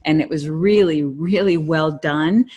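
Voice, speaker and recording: A woman's voice: low-pitched, measured pace, warm tone, breathy edge, muffled recording. A middle-aged woman chatting casually as a podcast co-host in an encouraging, affirming manner. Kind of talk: casual conversational podcast chat.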